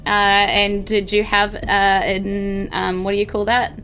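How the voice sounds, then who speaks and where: A woman with a bright, forward voice runs a tabletop role-playing game. She explains things informally and haltingly, feeling her way through the narration, with faint music underneath. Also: medium pitch, level tone, rough recording. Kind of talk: unscripted game narration, casual.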